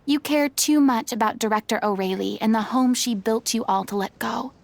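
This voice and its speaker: A woman's voice: a little high, moderate pace, vocal fry. A woman voicing a poised young former star in a video game, stern but caring, with eloquent, commanding, dramatic delivery. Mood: stern, earnest. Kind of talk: English video game voice acting.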